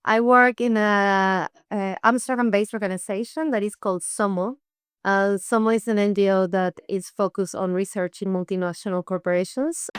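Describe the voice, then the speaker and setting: A woman from Peru, an NGO research head, explaining things in an interview with measured, rhetorical questions. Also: mid-range voice, fairly even intonation, clear, resonant tone, dry recording, studio quality.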